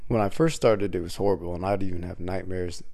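A man with a scratchy, slightly congested voice talks through a solo podcast episode, wandering from thought to thought with plenty of restarts. His voice sits low and mellow. He sounds relaxed and unscripted. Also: a little fast, creaky. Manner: rambling, frequent restarts and fillers. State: scratchy voice from a cold. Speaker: podcast host; waiter. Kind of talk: casual solo podcast monologue.

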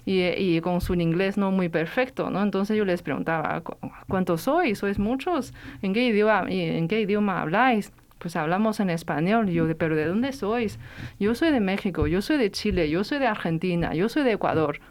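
Hesitant woman guest with a smooth voice. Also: mid-range voice, animated, normal speed. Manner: hesitant, many restarts and fillers. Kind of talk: interview answer, personal story.

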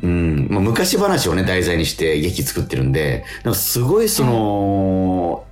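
A man with a very low, swooping voice hosts a casual radio talk. He tells his stories excitably in loose, everyday speech. The room rings around him, with music underneath. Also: measured pace, echoey room. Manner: animated, excitable, colloquial, repeating affirmations. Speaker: radio show DJ and stage actor. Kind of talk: casual radio chat, storytelling.

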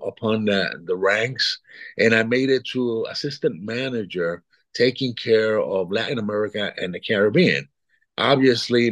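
Man, 60s, from Puerto Rico; low-pitched, meandering guest talk, dry sound. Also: a bit bright, no echo. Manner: rambling, repeats words, chuckles between thoughts. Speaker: guest artist. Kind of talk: casual interview chat.

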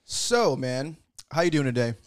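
A man's voice: medium pitch, big pitch swings, unhurried, over loud music. A young man co-hosting a casual podcast with a friend, laid-back and slangy, swearing casually. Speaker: podcast co-host. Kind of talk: casual chat.

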